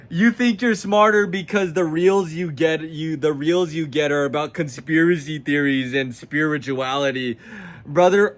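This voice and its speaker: Blunt, profane nineteen-year-old man. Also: fairly high pitch. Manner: blunt, profane, confrontational, addressing the viewer directly. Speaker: self-improvement vlogger. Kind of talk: motivational rant to camera.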